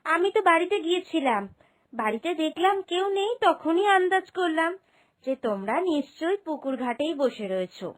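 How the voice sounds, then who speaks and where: Woman acting high-pitched entitled young daughter. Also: animated, moderate pace. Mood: wheedling. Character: cunning, selfish, demanding young married daughter. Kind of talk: cartoon moral-story voice acting.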